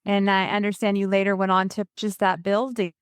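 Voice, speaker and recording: A woman's voice: mid-range voice, level tone, a little fast, smooth, no echo, studio quality. Woman co-host, brief encouraging remarks. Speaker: podcast co-host. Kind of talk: casual interview hosting.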